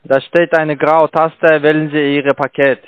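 A non-native man with a foreign accent and broken grammar, giving halting instructions as a call centre agent. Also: fairly high pitch, level tone, smooth, dry recording. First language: not German. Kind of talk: sales phone call. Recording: dull lo-fi phone call, dry.

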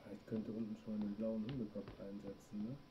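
A man's voice: lowish voice, level tone, a little fast. A middle-aged German man streaming a horror game, muttering to himself and chatting with viewers. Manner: talks to himself while playing, reacts to chat. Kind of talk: let's play commentary. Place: small room indoors. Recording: dull stream audio with noise.

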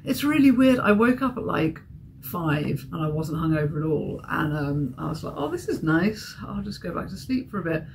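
A woman's voice: deep voice, big pitch swings, moderate pace, creaky, darkish tone, a little hiss or hum. A young woman vlogging from a hotel room, rambling and giggly, hungover and getting a sore throat. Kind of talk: casual vlog chat.

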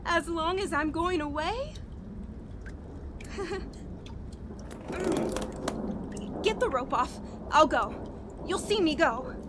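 An actress playing a desperate, guilt-ridden woman in a horror radio play, halting and repeating herself as she pleads through tears. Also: very high voice, animated, background noise, full character voice. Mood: tearful pleading. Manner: halting, repetitive, sobbing, pleading. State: crying, panicked.

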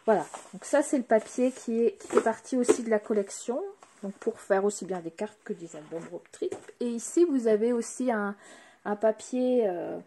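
A woman presenting craft products in a casual, hesitant way, pausing often with fillers while showing items to the camera. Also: a little high, warm tone, slight background noise.

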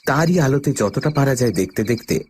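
Male actor as plucky proud traveller, lively pitch swings, dramatic first-person ghost-story narration, faint noise behind. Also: fairly quick, lightly acted. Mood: suspenseful.

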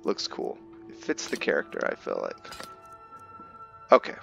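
A man giving casual commentary on his roleplay playthrough, haltingly thinking aloud as he goes. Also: fairly bright tone, faint music behind. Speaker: gamer narrating a roleplay playthrough.

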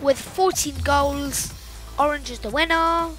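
A woman giving loud, excitable, casual commentary while playing a video game, exclaiming often. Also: high voice, a little slow. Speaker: gameplay commentator. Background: game music.

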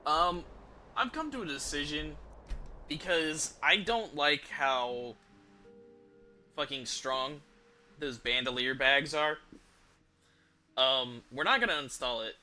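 Bright-voiced, slow-paced man streaming. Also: on the high side, soft background music. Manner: halting, hesitant, casually sweary. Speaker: video game streamer. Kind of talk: casual livestream chat over gameplay. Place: small indoor room at home.

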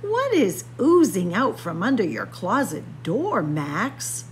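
A woman's voice: mid-range voice, huge pitch swings, slow pace, slight background noise. A woman voicing a bossy young girl bunny, a tidy big sister, in a picture book read-aloud. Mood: bossy, brisk. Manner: bossy, instructing.

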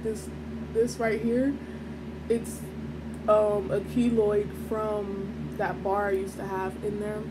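Young woman, nasal stuffy voice, chatty rambling vlogger, mid pitch, audible background hum. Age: young adult. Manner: rambling, chatty, many fillers. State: stuffy from allergies. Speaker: mukbang vlogger. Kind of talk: casual chat while eating. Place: small home dining room, indoors. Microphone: camera on table at close range.

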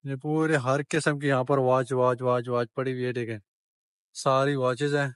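A man's voice: mid-range voice, level tone, normal speed, clear, resonant tone, no room sound, studio quality. A man giving a chatty walking-tour vlog of a street market, talking straight to viewers and bargaining with shopkeepers as he films. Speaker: travel vlogger.

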